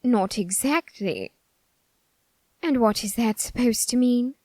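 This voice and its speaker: A woman's voice: a little high, slow, warm tone, no room sound. A woman performing a flamboyant, haughty and easily exasperated supernatural character in an ASMR roleplay, with a theatrical, condescending, sarcastic drawl. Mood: intrigued, condescending.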